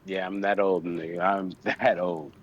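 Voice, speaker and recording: A man's voice: sits low, level tone, measured pace, highs cut off, slight background noise. A man in his late thirties chatting casually with a friend on stream, laid-back and profane. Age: late 30s. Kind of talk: casual chat.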